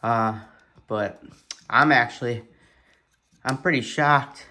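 A man giving a casual, excited hands-on product review at his kitchen table, thinking aloud and swearing in surprise. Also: medium pitch, slow pace, slight background noise. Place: kitchen table at home, indoors.

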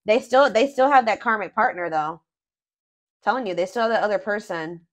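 A woman's voice: medium pitch, fairly quick. Chatty woman reading tarot aloud. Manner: thinking aloud, many fillers and restarts. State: voice going hoarse. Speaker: tarot reader. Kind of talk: casual tarot reading narration.

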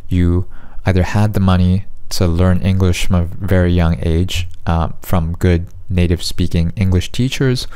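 Laid-back North American man, lowish voice. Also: steady tone, unhurried, few pauses, dark tone, smooth. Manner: rambling, self-correcting, conversational. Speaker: vlogger. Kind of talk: casual talking-head commentary. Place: small bedroom at night. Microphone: desk microphone in front.

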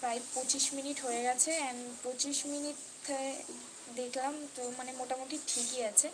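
A woman with a fairly high voice hosts a casual live stream. She talks off the cuff, circling back over her phrases and dropping in English words. Clear background noise runs through the recording. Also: little pitch movement, normal speed. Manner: repetitive, mixes in English words. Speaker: live stream host reading and answering viewer comments.

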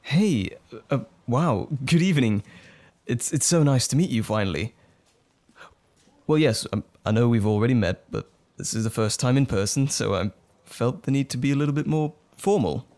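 Man acting as nervous romantic date, lively intonation. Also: mid-range voice, moderate pace, natural voice. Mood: tender, smitten.

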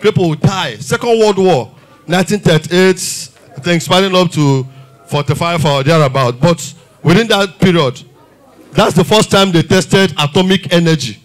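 Nigerian guy doing stand-up on a big stage, kinda high voice, fires off rhetorical questions, handheld mic. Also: normal speed. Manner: animated, mixes Pidgin and English, rhetorical questions. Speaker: stand-up comedian. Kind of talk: stand-up comedy set. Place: large theater stage with audience.

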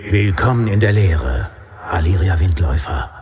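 An actor playing an impatient, pitiless being of pure energy in a fantasy audiobook, commanding and sardonic. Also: male voice, sits low, expressive, moderate pace. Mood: sardonic teaching.

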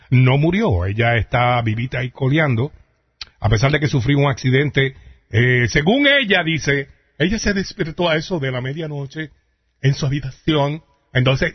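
A man's voice: medium pitch, breathy edge. A man with a Puerto Rican accent co-hosting a morning radio show, joking through celebrity gossip in colloquial, mocking chat. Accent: Puerto Rican Spanish. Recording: slightly dull radio aircheck.

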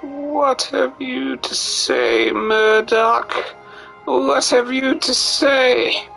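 A man's voice: extremely high pitch, fairly even intonation, very slow, creaky, sharp tone, faint music behind, poor audio. A man streaming a game with casual commentary, musing aloud and breaking into playful theatrical outbursts. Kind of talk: casual live gameplay commentary.

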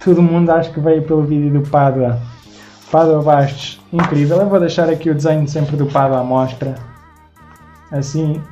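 A young man in his twenties with a Lisbon Portuguese accent chats on a home live stream and thanks each viewer by name. His voice is mellow and a little dark. The audio is dull and lo-fi, with faint music behind him. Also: a little high, steady tone, moderate pace. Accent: European Portuguese, Lisbon. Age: 20s. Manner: chatty, thanking viewers by name while showing drawings. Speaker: live-stream host and artist. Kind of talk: casual live-stream chat, reading viewer comments. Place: small home room indoors.

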